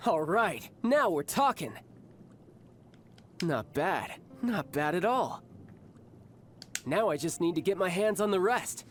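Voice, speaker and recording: A man's voice: high voice, big pitch swings, slow, pauses often, a little hiss or hum, full character voice. A man voicing a cocky young male warrior in a video game, smug and relishing his words.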